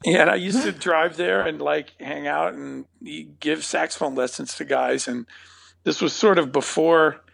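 Musician guy in his 50s, kinda gravelly, higher voice, stops and restarts a lot, dry mic. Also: fairly even intonation, a bit bright, no echo. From Northern California. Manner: thoughtful, halting, many fillers and restarts. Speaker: saxophonist guest. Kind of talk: conversational interview.

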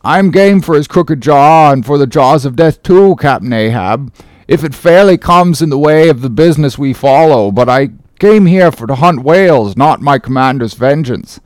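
A man's voice: a little high, expressive, moderate pace, a little mellow, no room sound, close to an everyday voice. A man voicing a sober, principled ship's first mate, earnest, formal and reproving, in a dramatic novel reading. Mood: measured doubt.

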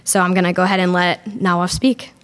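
A woman's voice: lowish voice, level tone, fast, a bit bright. A young woman introducing speakers at a live event, upbeat and with many fillers. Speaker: event host.

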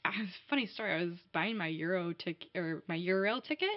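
A young woman chatting casually, telling lively stories and laughing often. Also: lowish voice, a little fast, dry recording. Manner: animated storyteller, laughs often. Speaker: guest. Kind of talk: casual conversation. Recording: dull, dry, muffled sound.

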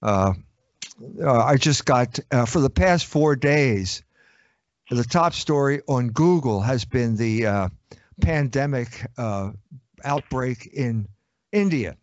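A man's voice: mid-range voice, expressive, slow pace, no echo. A man answering interview questions in an emphatic, assertive way. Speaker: interview guest. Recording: dry, dull lo-fi audio.

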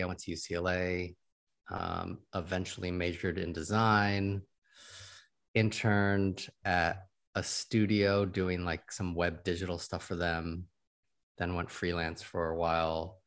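A man's voice: low voice, flat delivery, a little slow, a bit bright, clear, resonant tone. A man in his thirties from California, a guest on a podcast, talking about his background in an easygoing way. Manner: easygoing, laughs often. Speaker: podcast guest, creative director. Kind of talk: casual interview chat.

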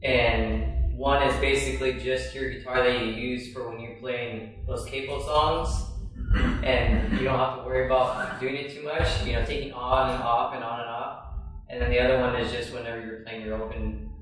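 Guy sharing worship guitar tips, pretty monotone, far from the mic in a big echoey room. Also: mid-range voice, moderate pace. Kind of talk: workshop discussion.

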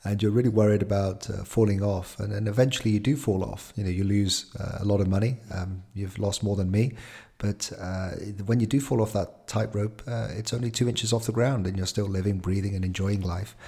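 A male podcast host asks his questions slowly and hesitantly, stopping often on fillers. His voice is low and gravelly, with a husky, rough edge. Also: fairly even intonation, dark tone. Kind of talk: conversational interview.

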